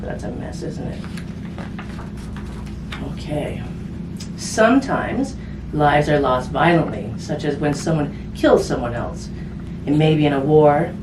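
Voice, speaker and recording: A woman with a fairly low voice reads a children's book aloud in a conversational storytime style, pausing for casual asides to her young listeners. She sounds set back from the microphone in an echoey room, with clear noise in the recording. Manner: conversational, simple phrasing aimed at children, with tag questions. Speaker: hospice volunteer reading a picture book to children. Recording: roomy, distant mic with background noise.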